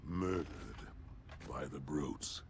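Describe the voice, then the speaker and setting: A man playing a proud, grave alien warrior in a video game, with a gravelly growl and a solemn, terse manner. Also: very deep voice, slow, full character voice. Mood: grim. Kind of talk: cinematic video game acting. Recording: distant voice under loud music.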